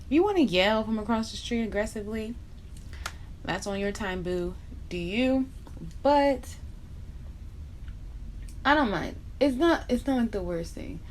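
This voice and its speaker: A woman's voice: on the high side, animated, measured pace, pauses often, a little hiss or hum. A young woman from Barbados chatting bluntly and casually while doing her makeup for a vlog, dropping in slang asides. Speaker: beauty vlogger.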